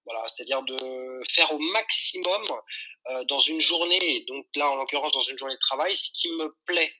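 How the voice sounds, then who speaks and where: A man's voice: mid-range voice, moderate pace, sharp tone, poor audio. A man, answering interview questions in an informal, enthusiastic, explanatory way. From France. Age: 40s. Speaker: podcast guest, tour guide and photographer.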